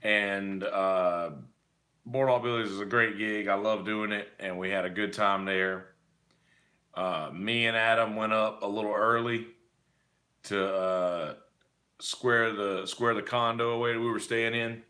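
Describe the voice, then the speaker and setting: A hoarse man talks through a loose, casual monologue about his weekend, his voice worn rough. He sits fairly low in pitch yet sounds bright and forceful, mumbling, backtracking and restarting his sentences as he goes. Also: steady tone, measured pace. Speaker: gigging musician.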